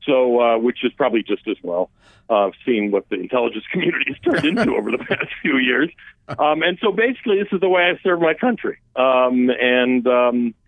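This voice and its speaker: A man's voice: medium pitch, animated, fairly quick, dry recording. A man, a policy expert guest, talking through immigration issues in a conversational, emphatic way with frequent restarts. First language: Armenian. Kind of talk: political talk radio interview. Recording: phone-line guest audio, dry.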